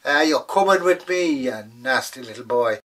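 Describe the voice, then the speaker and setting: A man's voice: mid-range voice, expressive, normal speed, fairly bright tone, far from the mic. A man voicing a stubborn, gold-hungry country woman who talks bossily and bluntly. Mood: bossy.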